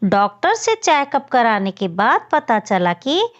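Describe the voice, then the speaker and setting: A woman's voice: fairly high pitch, very animated, slow, highs cut off. A woman narrating a scripted children's story as the storyteller.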